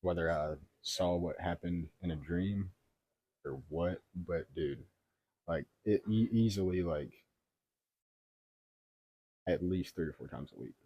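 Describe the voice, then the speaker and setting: A man's voice: sits low, slow, pauses often, breathy edge, dry recording, highs cut off. A man in his twenties chatting casually in an informal, slangy way, hedging as he goes. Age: 20s. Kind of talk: casual chat.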